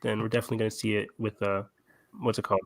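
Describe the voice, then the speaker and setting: A man's voice: fairly low pitch, little pitch movement, racing, gravelly, no echo. Man in casual, dry-sounding podcast chat. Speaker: podcast co-host.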